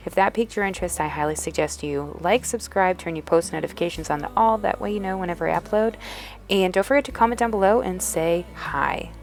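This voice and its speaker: A woman vlogger, a bit sniffly, narrating her day in a chatty, rambling way. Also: fairly low pitch. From Northeastern United States. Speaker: lifestyle vlogger. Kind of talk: casual voice-over narration. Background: background music.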